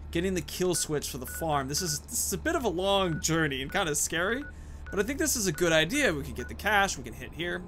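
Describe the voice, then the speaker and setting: A man's voice: fairly high pitch, sharp tone. A man hosting a casual let's play, informal and thinking aloud as he goes. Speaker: gaming video host.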